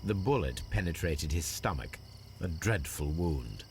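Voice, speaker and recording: An actor playing a shrewd, composed Victorian gentleman detective with an English accent, formal and measured, in a video game drama. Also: rumbling low, animated, vocal fry, a little hiss or hum, full character voice. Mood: pensive, observing.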